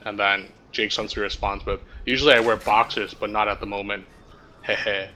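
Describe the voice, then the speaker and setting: Bright, forceful man with a lowish voice. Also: level tone, fairly quick. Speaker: story reader. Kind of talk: reading chat roleplay aloud to friends. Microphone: online voice chat microphone. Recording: faint background noise on voice chat.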